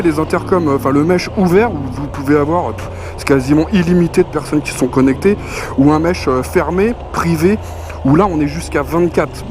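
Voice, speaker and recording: A man's voice: sits high, noisy background. A man giving a casual, colloquial product review, chatty and slangy as he presents. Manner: colloquial, conversational, punctuated with slang and spoken sound effects. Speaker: motorcycle gear reviewer, presenter. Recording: music playing behind the voice. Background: clear background music.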